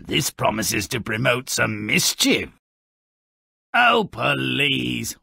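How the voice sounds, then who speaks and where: A man voicing a gruff, mischievous gnomish storybook narrator for a video game, with a raspy, gravelly voice and a sardonic, pompous manner. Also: mid-range voice, sharp tone, no echo, clean studio sound, full character voice. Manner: sardonic, pompous, alliterative, relishing each word.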